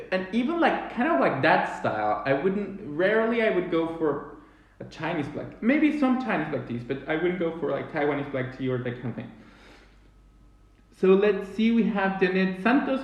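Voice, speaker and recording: A man, hosting a live-streamed tea tasting chat in an animated way with many restarts, recorded indoors at a table on a webcam. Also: a little high. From Chile. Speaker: tea company host. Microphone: webcam or phone at table distance. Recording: very echoey room.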